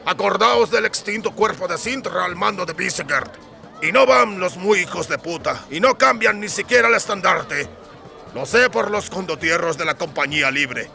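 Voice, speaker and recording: A sardonic, foul-mouthed dwarf soldier acted out by a man for a fantasy audiobook. The voice is very forceful and gritty, on the high side for a male, with a blunt, gruff delivery. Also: full character voice. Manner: blunt, sarcastic, swearing. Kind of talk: dramatised fantasy audiobook dialogue.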